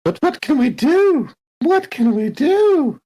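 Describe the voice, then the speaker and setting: A woman voicing an earnest, proper crew member in a tabletop game, speaking with measured, genteel phrasing. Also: sits high, sing-song, warm tone, heavily acted. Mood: worried.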